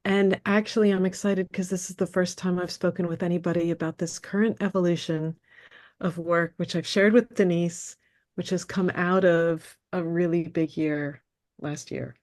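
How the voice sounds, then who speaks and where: A woman's voice: on the low side, fairly even intonation, normal speed, a little mellow. A woman with an upstate New York accent, a wellness coach speaking as an interview guest in an earnest manner. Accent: American, upstate New York. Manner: earnest, laughs easily. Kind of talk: conversational interview.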